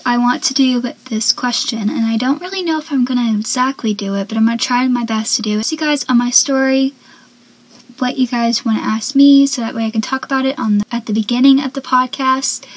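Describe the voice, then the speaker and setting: A seventeen-year-old girl with a soft, young-sounding voice, chatting casually as a podcast host and dropping into whispered asides. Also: a little high, low-quality recording. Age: teens. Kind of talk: casual solo podcast chat.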